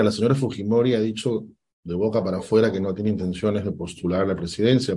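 A man, a political analyst answering interview questions, deliberate and sometimes stopping to search for a word. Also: deep voice, dark tone, slightly rough. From Peru. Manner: deliberate, stops to search for words.

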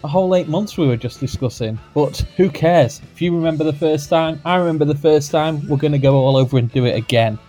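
A man's voice: fairly high pitch, brisk, few pauses, warm tone, faint music behind. A man in his thirties hosting a casual podcast chat from home, jokey and rambling, laughing often. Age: 30s. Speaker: podcast host. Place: home, recording remotely.

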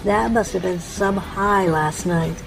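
A woman's voice: medium pitch, slow, gravelly, noisy background. An actress playing a brash, impatient young punk woman in an audio drama, bossy and mocking. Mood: snide. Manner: bossy, mocking, foul-mouthed.

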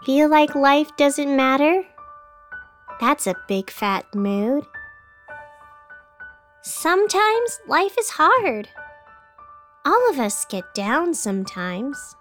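A woman playing a cheerfully menacing announcer in a parody radio commercial, with deadpan, blunt sales patter. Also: sits high, takes it slowly, long pauses, soft background music, full character voice. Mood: mock sympathy. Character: cheerfully menacing female craft store advertisement announcer.

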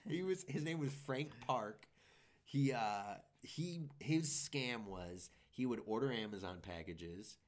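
A man's voice: medium pitch, lively intonation, slow. A young man and comedian chatting casually on a podcast, rambling and swearing freely as he tells stories. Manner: loose, profane, rambling storyteller. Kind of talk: casual chat.

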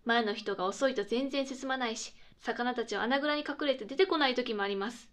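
A woman playing a cheerful radio host in a scripted comedy, chirpy and polite with quick retorts. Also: a little high, lightly acted. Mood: bright, presenting. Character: cheerful female radio host, playful straight man to sidekick. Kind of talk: scripted comedic late-night radio chat.

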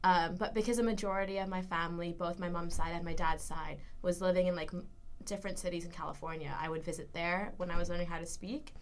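Young woman, American accent, from the Philippines, podcast guest, casual chat, playful. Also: lowish voice. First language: Tagalog. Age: young adult. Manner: lively, laughs often, quick playful quips.

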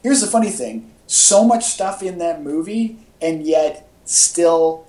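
A man co-hosting a casual podcast chat, speaking in a halting, informal fan style. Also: on the high side, warm tone. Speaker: podcast co-host. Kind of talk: casual chat about comics and movies. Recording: echoey, roomy podcast audio.

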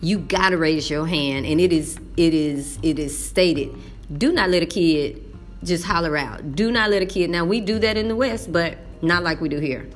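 A Texan woman teacher talking casually about middle school, folksy and emphatic, slipping into the voices she uses in class. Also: lowish voice. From Texas. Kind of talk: casual talk to camera.

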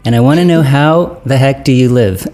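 A man's voice: mid-range voice, moderate pace. A man in his thirties, born in Vietnam, hosting a casual interview podcast and laughing often. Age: 30s. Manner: friendly, laughs often, relaxed pace. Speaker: podcast host.

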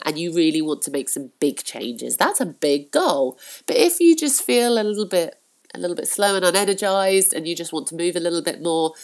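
A woman's voice: lowish voice, a little mellow. A woman hosting a solo podcast in a chatty, encouraging way, with a blocked nose from a cold and frequent fillers. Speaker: podcast host. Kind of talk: casual solo podcast talk.

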